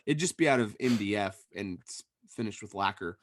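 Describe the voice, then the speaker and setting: A man co-hosting a podcast in casual chat, hoarse and losing his voice, calling in remotely and rambling with restarts. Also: lowish voice, animated, fairly quick, no room sound, studio quality. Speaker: podcast co-host. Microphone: remote call connection.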